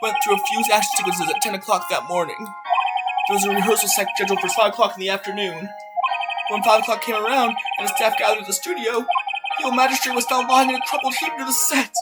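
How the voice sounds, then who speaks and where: A man doing a meek, gentle young actor. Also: high voice, fairly even intonation, fast, over loud music, natural voice, rough recording. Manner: soft, hesitant and polite.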